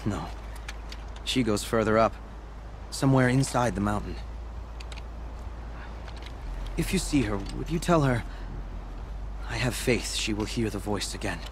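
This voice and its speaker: An actor playing a humble, earnest apprentice shaman in a video game, speaking in a measured, solemn way. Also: fairly low pitch, expressive, noisy background. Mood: earnest, cautioning. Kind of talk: video game dialogue acting.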